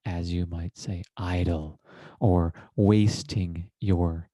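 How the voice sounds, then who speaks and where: Very slow, low-voiced man guiding meditation. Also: steady tone, mellow, dry recording, clean studio sound. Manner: measured, deliberate, with long pauses between short phrases; formal, literary phrasing. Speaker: guided meditation teacher. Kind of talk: guided meditation, slow contemplative narration.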